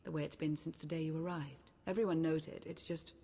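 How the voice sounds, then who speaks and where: Husky, confident female advisor. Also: low voice, fairly even intonation, fairly quick, mellow, heavily acted. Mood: assured, teasing. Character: confident female advisor, brisk, teasing, encouraging. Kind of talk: game cutscene acting.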